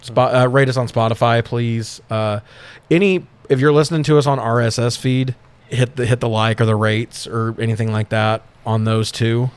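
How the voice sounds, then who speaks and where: A man with a clean, resonant voice at an average male pitch. He co-hosts a podcast and talks in an easygoing, conversational way. His wording is informal, with the odd swear word. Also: steady tone, normal speed, clear, resonant tone, dry recording. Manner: informal, occasionally profane. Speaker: podcast co-host. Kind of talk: casual podcast chat.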